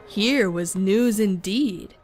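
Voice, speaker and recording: A woman reading a storybook as narrator, in a steady, measured way. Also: mid-range voice. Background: music.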